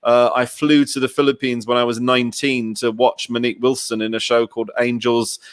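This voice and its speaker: A man's voice: mid-range voice, level tone, fairly quick, dry recording, studio quality. A man hosting a casual interview, talking in an effusive, rambling way like a keen fan. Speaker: show host interviewer.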